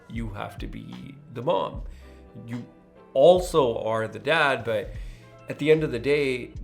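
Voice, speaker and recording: Gravelly-voiced man in his thirties. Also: mid-range voice. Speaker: host, new father. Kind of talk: reflective personal monologue.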